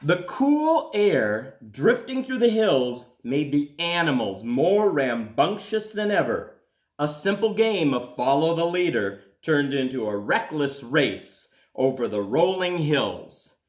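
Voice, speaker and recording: Slow, expressive male storyteller in echoey room. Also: on the high side. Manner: deliberate, expressive storytelling with dramatic pauses. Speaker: school principal reading aloud. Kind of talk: children's storybook read-aloud.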